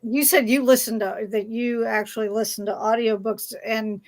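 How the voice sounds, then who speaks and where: A woman with a fairly high voice moderates a panel. She speaks casually and conversationally as she steers the discussion. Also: steady tone, normal speed.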